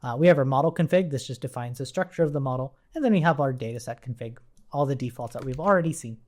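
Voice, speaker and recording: Male coding instructor, medium pitch, conversational and explanatory, informal screen tutorial delivery with typing at the desk. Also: fast, a little mellow. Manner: conversational, explanatory, often checking with "right?". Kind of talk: informal technical tutorial walkthrough.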